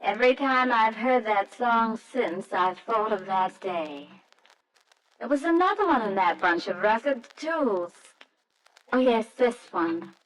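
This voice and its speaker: Gentle young wife, reminiscent, lightly high voice, slow measured pace, old-time radio drama style. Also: female voice, animated, low-quality recording. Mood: wistful, reminiscing. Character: wistful young wife recalling her courtship, gentle and demure. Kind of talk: old-time radio drama acting, wistful first-person narration.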